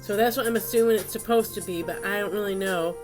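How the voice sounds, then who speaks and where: A woman's voice: mid-range voice, fast talker. Stuffy-nosed woman chatting over music. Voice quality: stuffy, congested. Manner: rambling, unscripted narration while working. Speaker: craft video host. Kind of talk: casual crafting chat.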